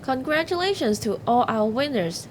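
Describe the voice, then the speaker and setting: A woman's voice: high-pitched, expressive, normal speed, noisy background. A woman hosting an awards ceremony, reading scripted announcements.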